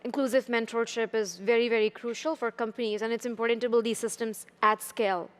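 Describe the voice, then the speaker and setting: A woman with an Indian accent making her case on a conference panel, her voice a bit high. She speaks earnestly and persuasively, checking in with "right?" and leaning on fillers. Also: steady tone, brisk. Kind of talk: live panel discussion. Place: conference hall.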